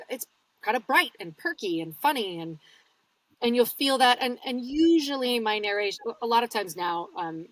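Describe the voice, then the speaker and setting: A woman's voice: medium pitch, dry recording, distant mic, low-quality recording. Chatty woman, a bit off-mic. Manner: chatty, explanatory, laughs easily. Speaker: audiobook narrator, guest. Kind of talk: casual interview talk. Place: home studio.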